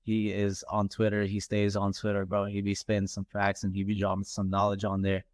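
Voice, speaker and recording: A young man giving blunt, casual shout-outs in slang. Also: on the low side, completely flat delivery, fairly quick, no echo. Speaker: rapper guest.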